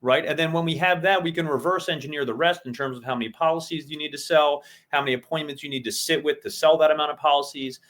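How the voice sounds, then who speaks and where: A man's voice: on the high side, steady tone, fast talker, few pauses, fairly bright tone. A man co-hosting a casual business podcast who explains things methodically, step by step. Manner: methodical, breaks goals down step by step. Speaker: podcast co-host.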